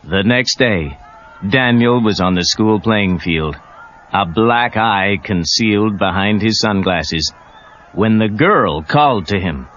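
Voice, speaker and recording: A man's voice: fairly low pitch, lively intonation, slow pace, a bit bright, a little hiss or hum. A man reading a scripted children's read-along story as narrator. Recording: dull lo-fi cassette tape. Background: prominent music.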